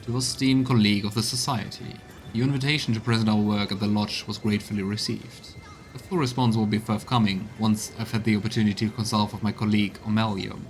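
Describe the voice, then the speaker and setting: A man's voice: lowish voice, steady tone, fast talker, soft background music. A man streaming a game, reading texts aloud and musing on them in gameplay commentary. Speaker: let's play streamer.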